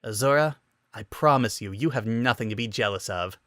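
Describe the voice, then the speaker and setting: A man's voice: lowish voice, moderate pace, fairly bright tone, no room sound, clean studio sound, lightly acted. A man voicing an awkward, boyish young prince with a self-conscious manner in a romantic comedy story reading. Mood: smug, teasing. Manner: flustered, sheepish, self-conscious. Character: awkward young prince, flustered, touchy-feely and boyishly petulant. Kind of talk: dramatic reading of romantic comedy fan fiction.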